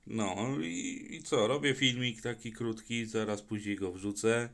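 A man's voice: lowish voice, a little slow, a bit bright. A man talking casually as a CB radio operator, with a colloquial, plain-spoken manner. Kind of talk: casual chat in turns on CB radio.

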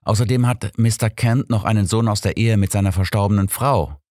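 A man's voice: fairly low pitch, fairly quick, a little mellow, no room sound, studio quality, pitched above the performer's own voice, lightly acted. Man voicing an earnest, officious police inspector. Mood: informative.

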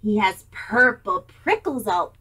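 A woman voicing a small, clever mouse in a storybook, bright and animated. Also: medium pitch, slow, slightly rough, voice pushed down, heavily acted, rough recording.

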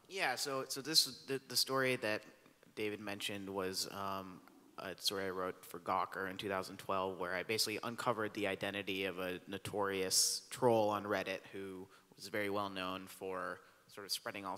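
A man's voice: deep voice, little pitch movement, moderate pace, pushed and bright. A man speaking on a panel discussion in an auditorium, hesitant, with many fillers and restarts, into a handheld mic. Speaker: panelist, journalist. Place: large auditorium stage. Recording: long hall reverb.

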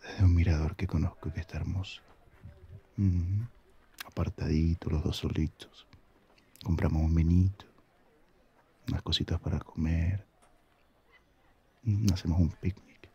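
A man speaking softly and affectionately in an intimate ASMR boyfriend roleplay, with a husky, gravelly voice and an unhurried manner. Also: deep voice, steady tone, highs cut off. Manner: soft-spoken, affectionate, unhurried.

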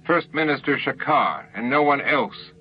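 A man's voice: mid-range voice, expressive, normal speed, lo-fi sound, faint music behind, pitched above the performer's own voice, close to an everyday voice. A man voicing a panicked leader of a threatened world in a sci-fi audiobook, urgent and snapping. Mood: snapping, feverish.

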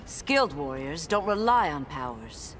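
A woman's voice: low-pitched, very animated, a little slow. An actress playing a proud, commanding female warrior goddess in video game fight banter, formal in her phrasing. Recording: game audio over loud background noise.

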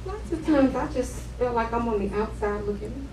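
A woman playing an exasperated but caring wife in a stage play, emphatic and expressive. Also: fairly high pitch, a little fast, lightly acted. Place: living-room stage set indoors. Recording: roomy, distant camera mic, background noise.

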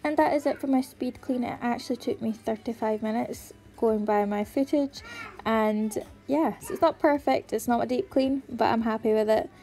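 A woman's voice: a little high, normal speed, dark tone, soft background music. A young pregnant woman, tired but chatty, narrating a home cleaning video in voice-over. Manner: chatty, friendly, explaining each step. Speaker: cleaning vlogger.

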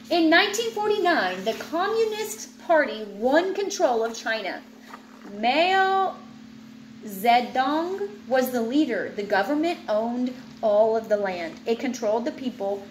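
A woman in her thirties reading a children's nonfiction book aloud at home, clear and steady, stumbling over hard names and adding small asides. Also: on the high side, echoey room, a little hiss or hum, low-quality recording. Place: home living room with ceiling fan. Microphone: webcam at desk distance.

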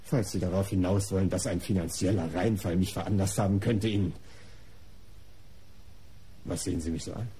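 Raspy, disdainful art patron. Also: male voice, low-pitched, steady tone, moderate pace, long pauses, dark tone. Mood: defensive, indignant. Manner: articulate, formal, self-justifying. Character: defensive, disdainful male art patron under questioning. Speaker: actor in a radio crime play. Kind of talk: German radio crime drama acting.

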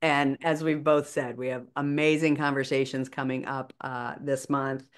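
An older woman co-hosts a podcast from her bedroom over a video call. Her voice is notably low and deep. She speaks with earnest conviction, pressing her points home. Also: little pitch movement, normal speed, clean tone. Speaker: podcast co-host.

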